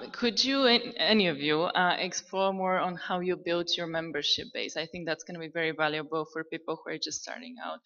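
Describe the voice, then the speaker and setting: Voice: mid-range voice, sharp tone, muffled recording. A moderator who leads a panel discussion and asks the speakers questions. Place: conference hall.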